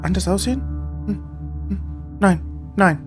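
A man voicing an excited, food-happy rat in short, choppy, repetitive phrases for a tabletop game. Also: high-pitched, moderate pace, pitched above the performer's own voice, close to an everyday voice. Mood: excited, puzzled. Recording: music behind the voice.

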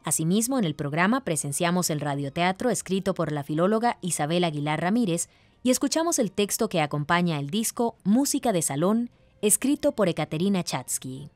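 A woman narrates a radio programme from a prepared script. Her voice sits on the low side for a woman. Music plays behind her. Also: expressive, fairly quick, no echo.

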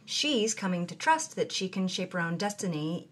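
A woman with a low, husky voice co-hosts a scripted podcast. She explains things in a measured, steady way. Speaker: co-host.

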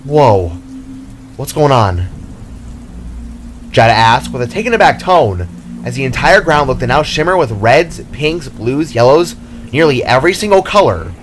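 A man's voice: medium pitch, lively intonation, normal speed, slight background noise. A man reading his fantasy story aloud as a dramatic scripted narration. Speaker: author narrating own story.

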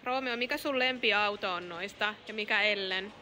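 A woman in her thirties from Finland, filming a family travel vlog on a phone held at arm's length and chattering brightly to the camera and her children. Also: medium pitch. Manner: bubbly, enthusiastic, talks to camera and her kids. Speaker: family vlogger. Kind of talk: casual travel vlog narration. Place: car, shops, hotel and outdoors. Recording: phone audio with steady background noise.

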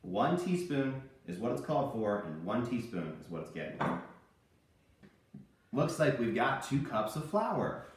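A man in his thirties presenting a cooking lesson for children, playing the animated straight man. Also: mid-range voice, a little slow, a little hiss or hum. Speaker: host of a children's cooking show. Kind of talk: scripted instructional presenting with puppet banter. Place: home kitchen. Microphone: camera a few meters away. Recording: very echoey room, distant microphone.

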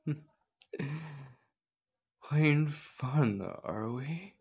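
Slow, breathy man acting a possessive stalker. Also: a little high, animated, darkish tone, exaggerated voice. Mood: sinister, teasing. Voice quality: breathy, gravelly, often dropping to a whisper. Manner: menacing, slow, coaxing. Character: possessive, obsessive male stalker hunting his beloved. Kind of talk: ASMR roleplay acting.

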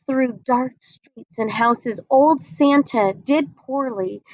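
A woman's voice: on the high side, no echo, low-quality recording. A young woman reading a picture book aloud, expressively, on a webcam at home. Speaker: reader.

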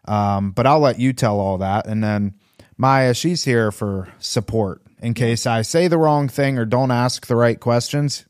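A man's voice: medium pitch, unhurried, smooth, dry recording. A man hosting a casual interview chat on a close studio microphone. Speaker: podcast host. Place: small indoor podcast studio.

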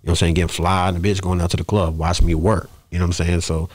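A man's voice: sits low, level tone, rapid-fire, no room sound. A man around his thirties, talking casually and rambling through stories on a close studio mic. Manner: rambling storytelling, punctuated with "you know what I'm saying". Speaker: podcast guest, rapper. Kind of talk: casual interview talk. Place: small podcast studio, indoors. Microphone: close studio microphone on arm, headphones.

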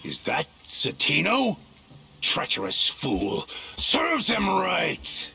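An actor playing a brash, vengeful pirate villain in a video game, gravelly and theatrical. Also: male voice, high voice, wildly swooping pitch, drawn-out words, bright, forceful tone, full character voice. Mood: scornful, gloating. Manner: blustering, theatrical.

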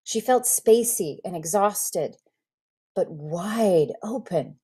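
Woman, forties, mid-pitched voice, literary storytelling, expressive phrasing, dramatic pauses, computer mic. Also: husky. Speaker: actor reading a memoir excerpt. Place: indoor room at home, on a video call.

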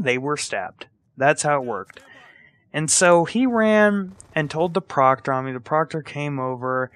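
A young man casually telling a personal story as a gaming commentary, acting out quotes and swearing freely. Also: medium pitch. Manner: animated retelling with acted-out quotes and casual swearing. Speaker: storyteller and gaming video creator. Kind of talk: casual storytelling over gameplay.

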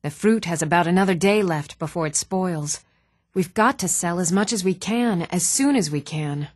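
An actress playing an even-toned townswoman in a fantasy video game, speaking scripted lines in a measured, matter-of-fact way. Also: lowish voice, dry recording, clean studio sound. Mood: firm.

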